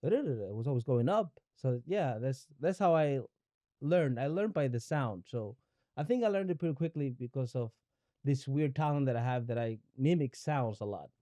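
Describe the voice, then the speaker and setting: A man's voice: medium pitch, animated, normal speed, mellow, dry recording. A young man from Ecuador whose first language is Spanish, talking as a podcast guest in a reflective, searching way, often restarting and repeating words. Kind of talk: reflective conversational interview.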